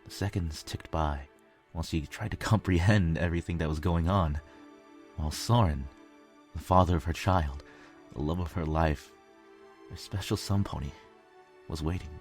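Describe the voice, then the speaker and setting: A man's voice: low voice, moderate pace, soft background music. A man reading a scripted story as a dramatic narrator.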